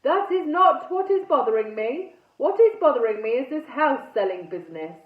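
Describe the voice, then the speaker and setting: A woman playing a booming, pompous old ghost knight. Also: sing-song, a little slow, distant mic. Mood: indignant. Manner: booming, formal, indignant. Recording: phone-like thin sound, roomy echo.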